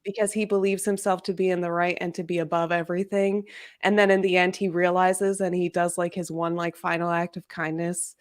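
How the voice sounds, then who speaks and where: A woman's voice: fairly low pitch, level tone, fairly quick. Casual, chatty woman discussing books. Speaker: guest discussing a novel in a readalong livestream.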